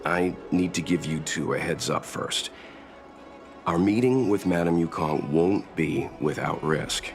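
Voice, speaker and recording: A man playing a calm, prudent middle-aged mentor in a video game, speaking in a measured, reasoned and reassuring way. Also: low-pitched. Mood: cautioning. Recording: music behind the voice.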